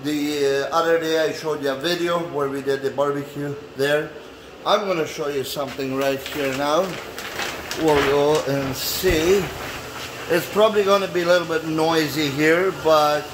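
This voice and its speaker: A man from Canada chatting casually to camera as he shows his workspace, in a halting, folksy way with non-standard phrasing. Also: on the high side. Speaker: vlogger and handyman host. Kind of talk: casual walk-through chat to camera. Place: indoors in a man cave workshop. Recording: echoey room with light background noise.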